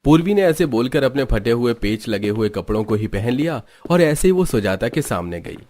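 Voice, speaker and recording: A man's voice: mid-range voice, sing-song, moderate pace, background noise. A man reading scripted narration for a story.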